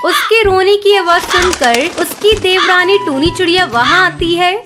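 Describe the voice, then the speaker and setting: Woman narrator, very high pitch, scripted children's storytelling, loud background music. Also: measured pace.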